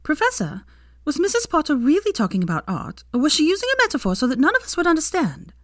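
A nosy teenage schoolgirl asking a hesitant, high-pitched question, played by a woman reader. The pitch jumps around, and the recording is dry and close. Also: huge pitch swings, fast, dry recording. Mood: curious. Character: teenage girl student, curious and gossipy.

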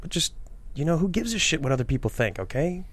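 A man's voice: on the high side, very animated, fast talker, fairly bright tone. An actor playing a blunt, probing male friend, casual and direct, at a script table read. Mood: frank, encouraging.

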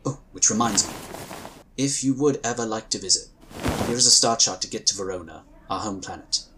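An actor playing a courteous, reassuring inquisitor of a magical order in a sci-fi audio drama, speaking in formal, measured, polite phrases. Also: male voice, fairly low pitch, noisy background, close to an everyday voice. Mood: apologetic, polite.

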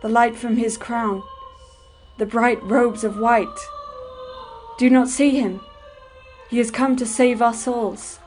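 A woman's voice: fairly high pitch, steady tone, darkish tone, faint music behind. Edwin, a woman playing a devout visionary man. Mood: rapturous. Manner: slow, earnest, old-fashioned speech. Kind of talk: archaic dramatic dialogue.

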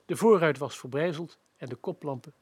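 An actor playing a practical hotel manager telling his story in a radio play, with a gravelly, husky voice. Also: a little high, a little fast, a little mellow, dry recording. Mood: grave, matter-of-fact.

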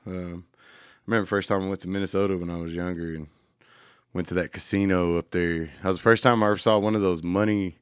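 A man's voice: deep voice, fairly even intonation, fast talker, smooth, no echo, studio quality. A man co-hosting a radio talk show in a laid-back, rambling way that often trails off. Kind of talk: casual chat.

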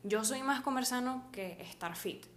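A woman's voice: fairly high pitch, moderate pace, fairly bright tone, slight background noise. A young woman with a Maracaibo Venezuelan accent, co-hosting a casual chat and laughing easily, with lots of "o sea". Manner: chatty, laughs easily, uses fillers like "o sea". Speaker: podcast co-host.

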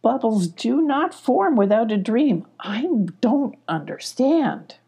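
Storybook role: young female creature, woman reader acting, high and mellow, earnest, puzzled, full of concern. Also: big pitch swings, takes it slowly, creaky, breathy edge, full character voice.

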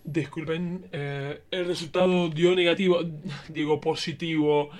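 Man playing a high-voiced campy doctor. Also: steady tone, a little slow, over loud music, natural voice. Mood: mock-solemn, camp. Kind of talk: comic radio play acting.

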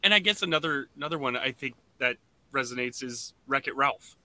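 A man hosting a casual podcast discussion, talking in a halting way and often restarting his phrases. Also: medium pitch, expressive, sharp tone. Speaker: podcast host.

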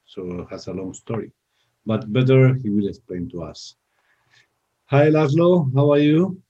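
A man's voice: medium pitch, expressive, a little slow, mellow, husky, highs cut off. A man from Spain with a Spanish accent, hosting a wine radio interview in English with many filler uhs. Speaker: radio show host.

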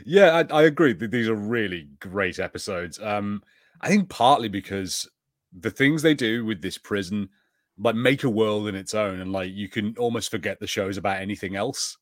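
A man's voice: lowish voice, moderate pace, no echo, clean studio sound. A man co-hosting a podcast, chatting casually and enthusiastically in a review. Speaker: podcast co-host.

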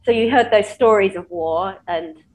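A woman's voice: medium pitch, moderate pace, darkish tone, rough recording. A woman hosting a podcast's live chat, opening with hesitant "um" starts and asking her guest questions. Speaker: podcast host.

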